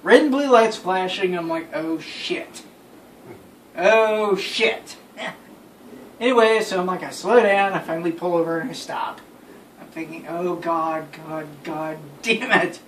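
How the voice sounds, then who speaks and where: A young man casually telling friends an anecdote in an animated way. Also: high voice, a little slow. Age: young adult. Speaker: storyteller. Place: small bedroom, indoors. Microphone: phone camera a few feet away. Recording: roomy, distant phone mic with noise.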